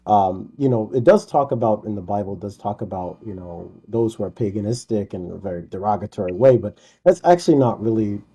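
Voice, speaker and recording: A man's voice: on the low side, lively intonation, a little fast, few pauses, mellow, slightly rough. A man calling into a live debate, speaking hesitantly, stammering and repeating words as he questions the host. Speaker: caller.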